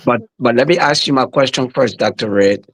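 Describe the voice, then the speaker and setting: A man's voice: mid-range voice, fairly quick, a bit bright. A middle-aged man with a Liberian accent, a minister speaking earnestly in a casual podcast discussion. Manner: earnest, patriotic, repeats words as he talks.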